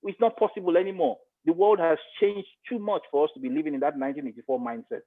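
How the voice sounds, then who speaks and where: A man's voice: a little high, fast talker. A middle-aged man with a Nigerian accent giving conversational commentary as an interview guest, emphatic and repeating phrases to press his point. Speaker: interview guest, media expert. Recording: phone-like thin radio-style sound.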